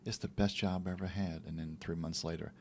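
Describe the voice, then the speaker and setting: Low-voiced man in relaxed podcast talk. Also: brisk, vocal fry, slight background noise. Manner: relaxed, reflective, acts out quotes. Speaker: podcast co-host. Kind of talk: casual faith talk.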